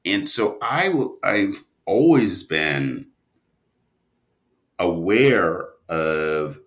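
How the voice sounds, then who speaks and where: A man speaking as an interview guest, a writer telling stories conversationally in an animated but halting manner. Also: low voice. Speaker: guest, audio drama writer and producer.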